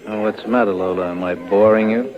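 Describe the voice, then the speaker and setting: An actor playing a cold, domineering, jealous army lieutenant with a sneering, commanding manner in an old radio drama. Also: male voice, lowish voice, steady tone, quick pace, close to an everyday voice. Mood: sneering jealousy. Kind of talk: 1950s radio drama acting. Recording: muffled old radio recording, crowd behind.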